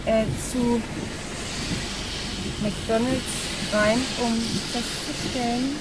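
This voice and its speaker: A woman's voice: fairly high pitch, fairly even intonation, extremely slow. A woman, talking casually and loosely in an informal vlog recorded in a car. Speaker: vlogger. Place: inside a small car. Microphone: dashboard camera at arm's length. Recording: loud car noise.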